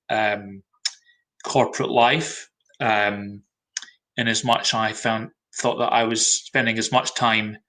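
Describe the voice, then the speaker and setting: Bright-voiced Scottish man. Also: medium pitch, poor audio. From Scotland. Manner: measured, frequent ums. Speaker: marketing and brand consultant. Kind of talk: webinar talk.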